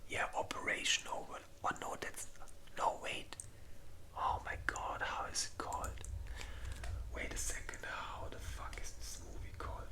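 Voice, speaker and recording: An ASMR creator whispering casually in a rambling, informal way, as if chatting through a personal movie list. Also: moderate pace. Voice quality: breathy unvoiced whisper.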